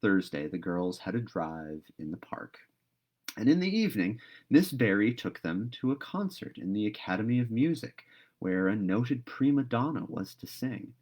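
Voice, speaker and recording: Man narrating a storybook, animated pitch. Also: moderate pace. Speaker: read-aloud host, narrator. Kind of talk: storybook narration, read aloud.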